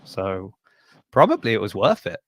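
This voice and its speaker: A man's voice: lowish voice, huge pitch swings, normal speed, dry recording. A man hosting a casual interview podcast, upbeat and playfully teasing his guests. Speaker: podcast host.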